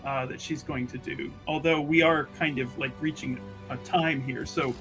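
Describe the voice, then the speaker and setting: A man chatting casually as a roleplaying player, describing what his character does in halting phrases. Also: on the high side, steady tone, over music. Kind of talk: casual game chat, describing a character's actions.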